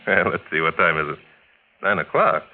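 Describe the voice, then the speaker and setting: An actor playing a suave, amused amateur detective in 1940s radio mystery style, teasing and coolly in command. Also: male voice, deep voice, very animated, moderate pace. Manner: teasing, chuckling, coolly authoritative. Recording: tinny, noisy 1940s radio recording.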